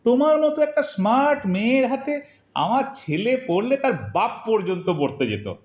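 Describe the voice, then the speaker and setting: A man's voice: very high-pitched, big pitch swings, moderate pace, dull audio. An actor playing a hesitant, fatherly old man in an audio drama, speaking in a plaintive, coaxing way. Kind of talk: Bengali audio drama acting. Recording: roomy, echoing voice recording.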